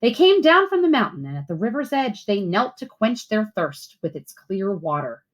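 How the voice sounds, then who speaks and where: A woman reading a children's picture book aloud like a teacher, with clear phrasing and expressive, instructive delivery. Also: medium pitch, moderate pace. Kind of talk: storybook read-aloud for children.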